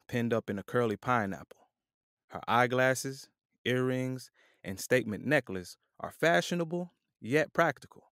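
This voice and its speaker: A man's voice: mid-range voice, dry recording, studio quality. A man reading scripted narration for an audio drama, describing scenes and characters.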